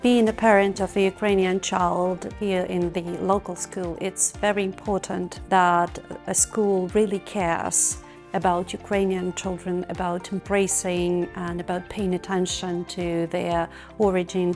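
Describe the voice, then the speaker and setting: A woman speaking as a parent in an interview, sincere and even. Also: fairly low pitch, measured pace. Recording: music bed under voice.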